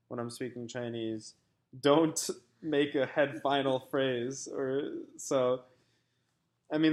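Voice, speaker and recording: A young American man, a graduate student, giving a recorded personal statement in a halting, thoughtful way with many fillers and restarts. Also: medium pitch. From United States.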